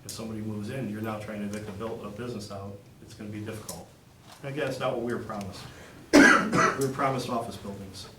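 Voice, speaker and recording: A man giving a measured, concerned public comment at a township meeting. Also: lowish voice, steady tone, heavy background noise. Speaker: resident speaking at public comment. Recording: echoey room mic from a distance.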